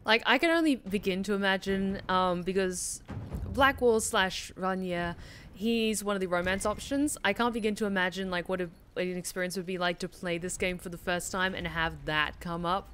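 A woman's voice: medium pitch, moderate pace, a bit bright, a little hiss or hum. A young Australian woman, a streamer and voice actor, chatting casually while playing a game with lots of fillers. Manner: chatty, many fillers, rambling. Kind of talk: casual chat while gaming. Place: small room indoors.

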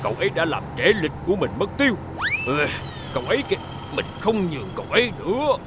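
A man voicing a grumpy, hurried talking bus in a dubbed children's cartoon, with a blustering, grumbling delivery. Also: high voice, wildly swooping pitch, background noise. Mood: impatient, grumbling. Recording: loud background music.

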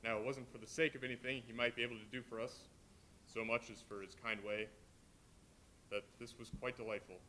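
A man playing a gentle grieving father, quick and bright. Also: mid-range voice, flat delivery, a little hiss or hum, lightly acted. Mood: tender. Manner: tender, mournful. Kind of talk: live stage play reading.

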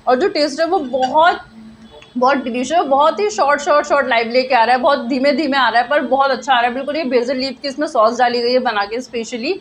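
A woman in her twenties reviewing food at a small cafe, chatting casually and drawing out her tasting remarks slowly. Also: high-pitched, slight background noise. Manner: chatty, mixes Hindi and English, slow drawn-out tasting remarks. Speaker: food vlogger. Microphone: handheld phone camera at arm's length.

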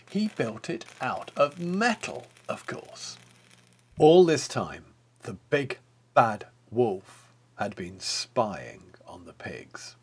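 A man's voice: mid-range voice, breathy edge. A man in his fifties with an English accent reads a picture book aloud theatrically, speaking directly to the listener. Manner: theatrical, expressive, addressing the listener. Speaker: children's author and storyteller.

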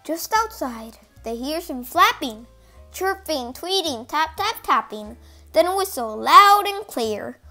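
High-pitched child reading a storybook. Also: very animated, slow, bright, forceful tone, faint music behind. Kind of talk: expressive storybook read-aloud.

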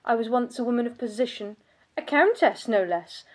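An actress playing a bitter, world-weary aristocratic vampire countess in a theatrical monologue, pompous and sardonic in manner. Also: female voice, a little high, expressive, normal speed. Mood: haughty, disgusted. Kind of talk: scripted dramatic monologue.

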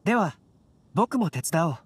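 A woman voicing a courteous young male alchemist in an anime video game, calm, measured and gentle. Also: fairly low pitch, breathy edge, no echo, exaggerated voice.

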